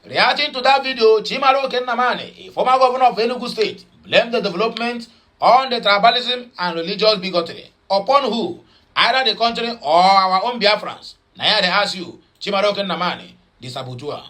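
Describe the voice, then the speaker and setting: A man with a Nigerian Pidgin accent talks through the news in a chatty, mocking way. His voice sits high and sounds bright and sharp. He rambles, repeats himself and drops in Igbo phrases. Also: brisk. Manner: rambling with many fillers and repeats. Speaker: news commentator. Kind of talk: casual news commentary.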